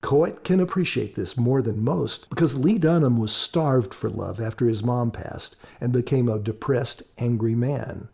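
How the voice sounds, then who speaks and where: A man's voice: medium pitch, a little slow, vocal fry, hardly any pauses, mellow, slightly rough. A man giving scripted podcast commentary as author and co-host, in an earnest, preacherly, explanatory manner.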